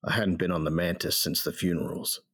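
A man acting a grieving, bitter bounty hunter who narrates his memories in a reflective, rough-spoken way for an audio drama. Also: low-pitched, fairly even intonation, a little fast, fairly bright tone, no echo. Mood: somber reflection.

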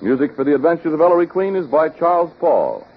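An American man announcing and pitching a sponsor in 1940s radio style. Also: big pitch swings, fast, over loud music. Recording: dull old radio recording, faint noise.

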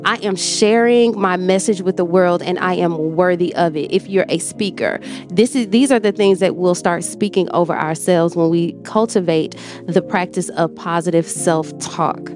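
A woman's voice: fairly low pitch, normal speed, music in the background. A woman hosting a motivational livestream, talking affectionately to her viewers, repeating phrases and often checking in with "okay?". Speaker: host.

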